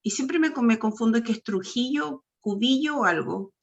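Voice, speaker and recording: A Chilean woman with a fairly high voice speaks earnestly to a panel, often breaking off and restarting her sentences. The sound is a muffled, lo-fi video call. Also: animated, moderate pace, gravelly, a crowd in the background. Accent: Chilean Spanish. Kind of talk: casual panel talk. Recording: dull lo-fi video call audio.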